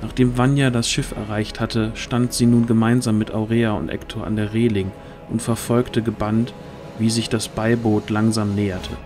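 A man narrating a fantasy audio drama from a script in a measured storytelling manner. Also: fairly low pitch, mellow.

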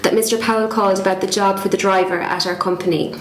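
A woman's voice: mid-range voice, steady tone, fast, natural voice. A woman in her twenties with an Irish accent playing a polite company secretary in a scripted lesson dialogue, enunciating carefully. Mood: polite, businesslike. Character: polite female company secretary answering the phone. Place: small bare indoor room. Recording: echoey room, clear background noise.

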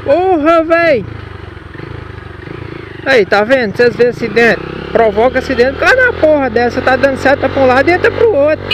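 A man's voice: very high voice, animated, moderate pace. A young man with a Minas Gerais Brazilian accent riding a motorcycle through city streets, talking casually to his viewers in slang and swearing at careless drivers. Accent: Brazilian Portuguese, Minas Gerais. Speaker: motovlogger. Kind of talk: casual running commentary while riding. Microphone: helmet or action camera mic. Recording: loud road and engine noise.

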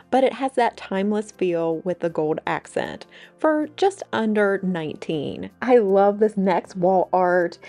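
A woman with a mellow, warm voice narrates a shopping walkthrough, upbeat and gushing over each find. She speaks close to the mic in a recorded voice-over, with soft music playing faintly underneath. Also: mid-range voice. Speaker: home decor channel host.

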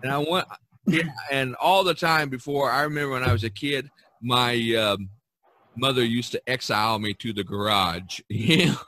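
A man in his early sixties hosting a casual, rambling podcast chat, halting and often repeating words. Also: mid-range voice, bright, forceful tone, dry recording. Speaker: podcast host.

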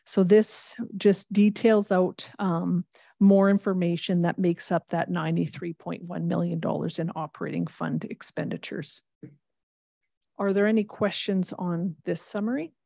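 Low, mellow woman presenting a budget. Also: steady tone, creaky, no echo, clean studio sound. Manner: measured, explanatory, reads long figures. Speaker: municipal finance staff presenting a budget. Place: large council chamber.

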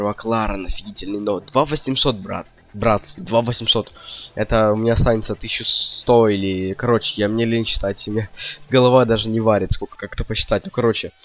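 Low-voiced young man rambling over music. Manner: slangy, indecisive rambling to viewers. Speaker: gaming video host. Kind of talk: casual gameplay commentary.

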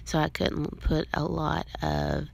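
A woman narrating her card-making process as a voice-over, in a relaxed, rambling way with frequent ums. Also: low-pitched, slow pace, gravelly, slightly rough.

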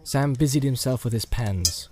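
Male narrator for a fantasy audiobook, mid-range voice, measured literary storytelling. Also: medium pitch, expressive, darkish tone.

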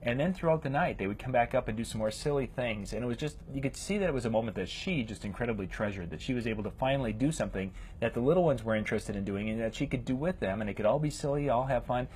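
A man's voice: medium pitch, lively intonation, quick pace, few pauses, a little mellow, slight background noise, poor audio. Middle-aged male DJ telling a story. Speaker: DJ with photo booth. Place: home room. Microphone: webcam.

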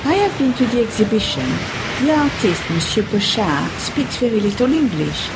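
A woman's voice: fairly high pitch, very animated, normal speed, background noise. A woman playing a polite, earnest French fortune teller in an amateur radio play, formal in manner and with a French accent. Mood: polite, helpful.

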